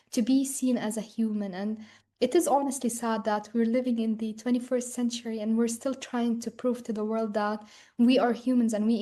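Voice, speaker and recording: Young woman, from Ukraine, earnest, slightly high voice, casual "like" fillers. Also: mellow. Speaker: guest speaker. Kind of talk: earnest personal testimony.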